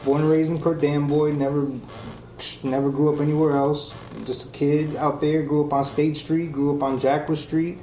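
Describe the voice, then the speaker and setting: Jersey guy in his 40s chatting loose as a podcast guest, slightly high voice, echoey room. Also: moderate pace. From New Jersey, USA. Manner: relaxed, slangy storytelling. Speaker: guest, music engineer. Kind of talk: casual interview. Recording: echoey room with faint noise.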